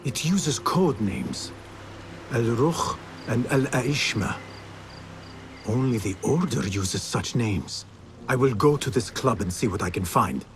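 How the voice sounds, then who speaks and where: Resolute young assassin. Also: male voice, medium pitch, big pitch swings, takes it slowly, a little hiss or hum. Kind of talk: video game cutscene acting. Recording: game audio with loud music.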